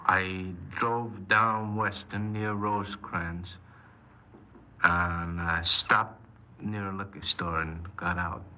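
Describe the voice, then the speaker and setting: Cold, boastful radio-drama gunman: lowish, intensely forceful, menacing. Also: little pitch movement, slow, highs cut off, heavily acted. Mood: smug, recounting. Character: cold, cocky criminal confessing his armed robberies. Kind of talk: 1950s radio crime drama acting. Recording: noisy 1950s radio recording.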